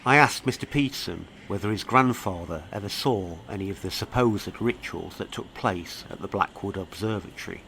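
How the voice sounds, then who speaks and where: A man with a fairly low voice narrates a scripted true-crime mystery as its host. He reads prepared lines and poses questions. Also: normal speed, gravelly, few pauses, a bit bright, a little hiss or hum.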